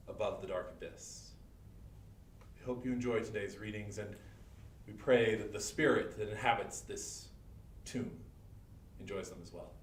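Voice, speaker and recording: A man reciting and presenting dramatically in a solemn, measured manner. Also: slight background noise. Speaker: event presenter and reader. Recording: roomy, distant, faintly rumbling livestream.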